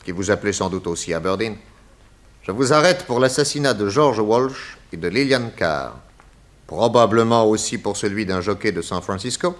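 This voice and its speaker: An actor playing a confident private detective in a 1960s French radio crime serial, speaking in a courteous, methodical and theatrical way. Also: male voice, lowish voice, expressive, normal speed, close to an everyday voice. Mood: accusing, triumphant. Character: confident male private detective exposing a murderer.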